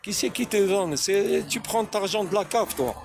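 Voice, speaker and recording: A man's voice: high-pitched, normal speed, vocal fry. A man born in France giving a spontaneous religious monologue, preaching and throwing rhetorical questions at his viewers, repeating himself.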